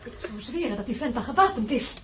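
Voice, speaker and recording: An actress playing a frightened, pleading wife alone at night in a remote farmhouse, in a Dutch radio play. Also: female voice, on the high side, animated, fast, a little hiss or hum, close to an everyday voice. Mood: frightened, urgent. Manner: anxious, urgent, pleading.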